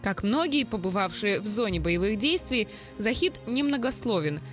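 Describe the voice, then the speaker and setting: A woman reporter reading scripted news narration in a measured, solemn voice-over. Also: mid-range voice, animated, over music.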